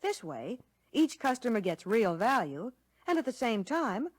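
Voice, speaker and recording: A woman playing a courteous American lunch counter waitress, explaining service clearly in a scripted 1960 training film. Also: a little high, very animated, measured pace, no room sound. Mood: proud, helpful. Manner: courteous, instructive, clearly worded.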